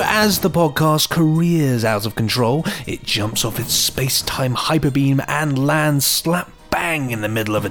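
A man's voice: mid-range voice, a bit bright. A man co-hosting a podcast with casual, teasing banter and playfully mock-dramatic links. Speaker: podcast co-host.

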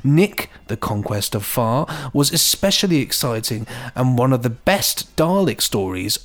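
A man's voice: mid-range voice, very animated, unhurried. A man co-hosting a podcast, chatting casually and jokily and reading out listener emails. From England. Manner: chatty, jokey. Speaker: podcast co-host.

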